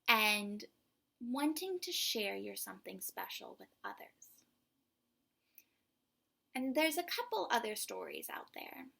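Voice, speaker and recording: A woman with a fairly high voice speaks as a storyteller for young children. Her tone is breathy and often drops into a crackly fry. She is expressive and emphatic, explaining things in simple terms. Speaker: children's story reader and host. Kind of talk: animated read-aloud for young children.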